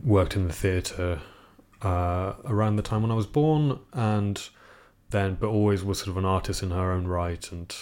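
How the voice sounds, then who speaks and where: A young man raised in England with a British accent talks through his thoughts in an interview. His voice sits very low, and he pauses, restarts and fills gaps as he reflects. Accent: British English. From Iceland. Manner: thoughtful, halting, many fillers and restarts. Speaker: guest, composer.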